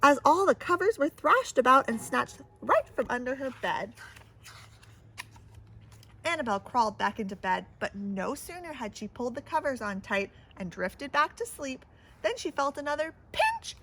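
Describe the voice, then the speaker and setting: A woman's voice: sits high, very animated, normal speed, a bit bright, rough recording. A woman reading a children's picture book aloud with theatrical, dramatic emphasis. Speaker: storybook reader.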